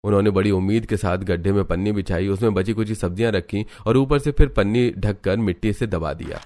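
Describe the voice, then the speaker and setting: A man's voice: on the low side, fairly quick, warm tone, lightly acted. A man narrating a scripted cartoon family story as the storyteller.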